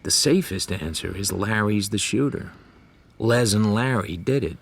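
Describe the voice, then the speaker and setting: An actor playing a wisecracking, world-weary private detective, dry and laconic, in hardboiled radio drama style. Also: male voice, fairly low pitch, expressive, a little slow, creaky, breathy edge, exaggerated voice. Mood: brooding. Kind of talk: hardboiled first-person radio drama narration and dialogue.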